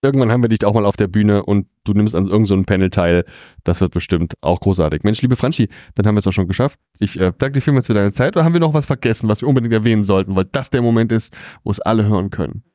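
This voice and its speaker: A man chats through a relaxed interview at a convention, words tumbling out fast. His voice sits low and mellow. The sound is close and dry. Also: no echo. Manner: quick, chatty, frequent fillers. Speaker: podcast host interviewer. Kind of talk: casual interview. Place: comic convention.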